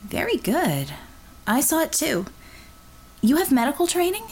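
A wry, kind young healer, as a woman reader voices her, with a light, teasing manner. Her voice is lifted a little above the narration, with lively pitch. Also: a little slow, a little hiss or hum, exaggerated voice. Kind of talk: audiobook character reading.

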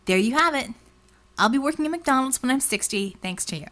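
A woman voicing a sarcastic, easily exasperated woman detective in an audiobook, with a wry and animated delivery. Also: high-pitched, brisk. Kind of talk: audiobook character dialogue.

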